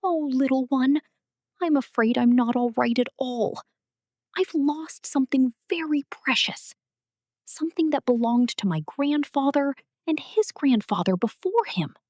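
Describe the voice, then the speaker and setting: A woman's voice: sits high, animated, measured pace, darkish tone, dry recording, clean studio sound, voice pushed up. A woman voicing a sad, kindly old male badger in a children's storybook, with a sorrowful, pleading manner. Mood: tearful, sad.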